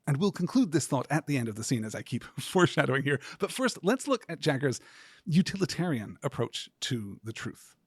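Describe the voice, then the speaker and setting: A man hosting a podcast as a scripted literary lecture, speaking in a measured, earnest, academic way. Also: mid-range voice, big pitch swings, breathy edge, dry recording. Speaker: podcast host.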